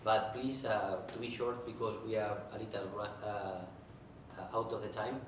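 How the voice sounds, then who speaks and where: Male panel moderator, halting, picked up from afar in a big reverberant hall. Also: on the low side, fairly even intonation. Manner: hesitant, many fillers and restarts. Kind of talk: moderating audience questions. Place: large conference room. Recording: echoey hall, distant mic, background noise.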